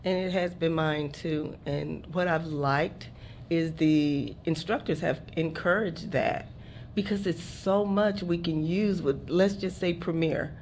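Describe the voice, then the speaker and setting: A woman's voice: sits low, steady tone, slow, a little mellow. A woman hosting a casual radio chat, asking questions and laughing easily. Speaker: radio show host. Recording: noisy studio recording.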